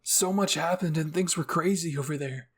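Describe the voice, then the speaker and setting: Man playing a shaken, earnest teenage boy, husky. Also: on the high side, normal speed, a bit bright. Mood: shaken, relieved. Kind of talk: anime fan fiction roleplay reading.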